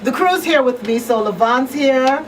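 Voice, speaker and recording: A woman's voice: high voice, animated, a little slow. A woman hosting a live radio talk show in a casual style from a small studio. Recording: slightly distant, noisy room sound.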